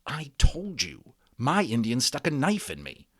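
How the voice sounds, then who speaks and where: A man's voice: medium pitch, wildly swooping pitch, unhurried, no room sound, studio quality, pitched above the performer's own voice. A man voicing a bossy, anxious young boy from a storybook who takes charge with urgent instructions. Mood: brisk, bossy.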